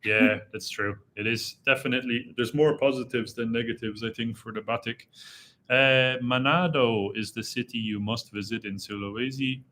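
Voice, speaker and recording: A young man with a slight Irish accent, chatting casually on a live stream and reading viewer comments aloud in a relaxed, rambling way. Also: medium pitch, moderate pace, a little hiss or hum. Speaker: travel vlogger hosting a live Q&A. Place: indoors in a dim room.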